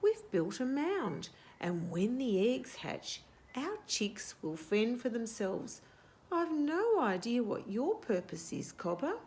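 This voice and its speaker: A woman's voice: on the high side, big pitch swings, slow pace, darkish tone, full character voice. A woman voicing a matter-of-fact scrub fowl with a warbling manner in a children's storybook.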